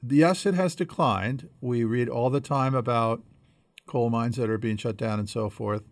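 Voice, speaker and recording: A man co-hosting a conversational podcast, explaining things in a measured way as he thinks aloud. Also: mid-range voice, dry recording. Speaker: podcast co-host.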